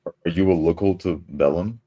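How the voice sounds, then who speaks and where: Brief: male tabletop player, extremely low pitch, measured and formal in-character delivery. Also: husky, highs cut off.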